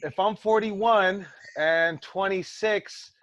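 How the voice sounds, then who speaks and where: A man's voice: high-pitched, slow pace, fairly bright tone. A man in his forties, a wrestling coach running a casual online class chat, loose and joking with casual swearing over a video call. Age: 40s.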